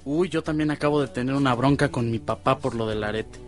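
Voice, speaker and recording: A man's voice: mid-range voice, a little fast, soft background music, lightly acted. An actor playing a casual, slangy, mildly rebellious teenage boy with a Mexican accent in a radio soap. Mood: frustrated. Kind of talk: radio soap opera acting.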